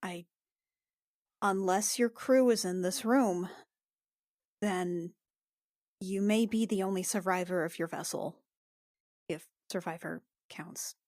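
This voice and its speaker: A woman's voice: fairly low pitch, slow, pauses often, darkish tone, no room sound, studio quality. A woman playing a tabletop game, speaking hesitantly and soothingly as her character. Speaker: player. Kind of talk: casual play in a tabletop game.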